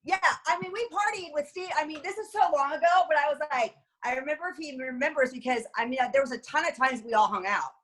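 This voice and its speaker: Co-host, woman, 50s, bubbly and quick to laugh, high voice, video call. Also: lively intonation, fairly bright tone. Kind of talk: casual video call chat. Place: home room with bookshelves.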